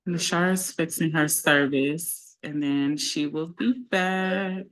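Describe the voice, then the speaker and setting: A woman's voice: sits low, fairly even intonation, slow, fairly bright tone, low-quality recording. A woman hosting a casual live-stream interview over a video call, chatty and talking through connection problems aloud. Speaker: livestream host.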